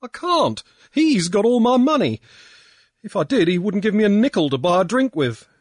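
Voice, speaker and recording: A man voicing a self-pitying drunken young gentleman who blubbers and whines in a high, loud voice. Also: sing-song, moderate pace, no echo, clean studio sound. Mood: blubbering. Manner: blubbering, sobbing and whining.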